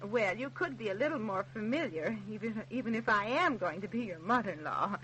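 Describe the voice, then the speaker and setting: A woman's voice: mid-range voice, animated, brisk, fairly bright tone, a little hiss or hum, close to an everyday voice, low-quality recording. An actress playing a fussy, kindly, old-fashioned middle-aged American mother in an old-time radio drama. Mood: fussing, chiding.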